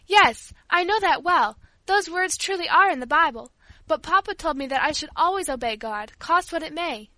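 Woman as earnest young girl, meek but steadfast, pleading, storybook character, very high bright voice. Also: normal speed, no room sound. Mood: tearful pleading. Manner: pleading, emotional, polite. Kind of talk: audiobook character voice.

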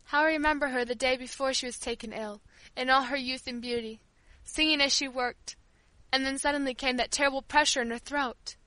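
A woman's voice: high voice, steady tone, a little fast, sharp tone, no echo, rough recording. A woman voicing a kindly, grieving old female servant who tells her tale tenderly in an audiobook. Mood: sorrowful, tender. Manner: tender, fond, rambling storyteller. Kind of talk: audiobook character dialogue.

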